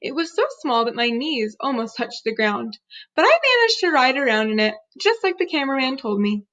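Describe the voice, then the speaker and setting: A woman reading a children's book aloud as an expressive storyteller. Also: high voice, a little fast. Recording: dull lo-fi recording.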